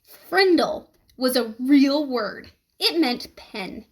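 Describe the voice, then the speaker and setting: A woman reading a children's book aloud in an expressive, emphatic storytelling manner. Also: high voice, extremely slow. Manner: animated, emphatic storytelling with questions to listeners.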